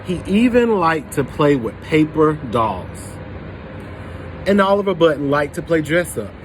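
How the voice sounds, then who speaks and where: A man with a slightly high voice reads a children's picture book aloud. He stops to prompt young listeners with questions. There is some ambient noise behind him. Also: big pitch swings, unhurried, low-quality recording. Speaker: storybook reader for children.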